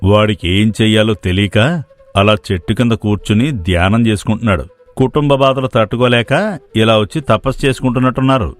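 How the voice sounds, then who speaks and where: A man's voice: on the low side, a little fast, lightly acted. A man playing a lazy, scheming young villager, a casual and mocking sidekick in a moral story. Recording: music playing behind the voice.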